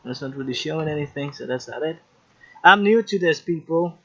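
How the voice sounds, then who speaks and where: A man hosts a casual live stream over a video call, his voice a touch high. He talks in a chatty, joking way, half distracted by technical trouble. The audio is slightly muffled and lo-fi. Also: animated, brisk. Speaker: host.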